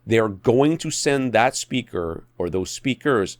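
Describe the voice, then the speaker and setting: Man, lowish pitch, lively intonation, opinionated, emphatic, casual podcast chat, dry sound. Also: slow, no echo. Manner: exasperated, blunt ranting. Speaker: podcast co-host.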